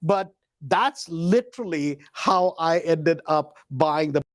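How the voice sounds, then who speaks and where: Male host, high voice, informal raconteur, emphatic, slangy, acts out both sides of conversations. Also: slow pace. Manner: animated retelling with acted dialogue, emphatic repetition. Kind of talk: casual anecdotal storytelling.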